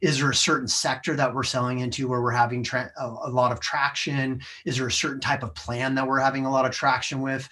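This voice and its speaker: A man's voice: mid-range voice, little pitch movement, a little fast, hardly any pauses, sharp tone. An American man in a podcast interview, talking conversationally as a sales professional. He explains things step by step with a mid-range voice and small checking phrases. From United States.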